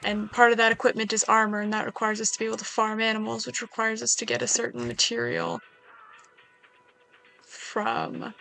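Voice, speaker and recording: A woman with a slightly hoarse voice giving casual, chatty commentary while she plays a survival game, making wry asides to herself. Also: fairly high pitch, normal speed, pushed and bright, soft background music. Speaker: gaming video creator.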